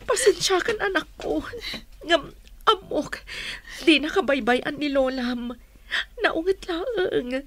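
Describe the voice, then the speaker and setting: An actress playing a gentle, sorrowful mother in a radio drama, tender and apologetic, with a Filipino accent. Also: high voice, lively intonation, slow pace. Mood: tearful, tender. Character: sorrowful, gentle mother leaving her child behind.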